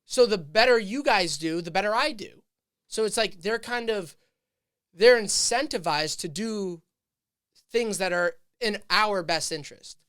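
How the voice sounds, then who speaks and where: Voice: mid-range voice, lively intonation, a little slow, fairly bright tone, smooth, dry recording, clean studio sound. A podcast host thinking aloud in casual chat, rambling with many fillers and restarts.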